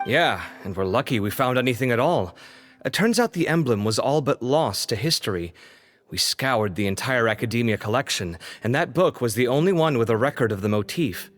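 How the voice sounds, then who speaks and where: Guy doing a candid young man, game dub, casual but emphatic, medium pitch, faint music. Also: expressive, fairly quick, natural voice. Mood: relieved. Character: candid, concerned young man, conversational and opinionated. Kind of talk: English video game dub acting.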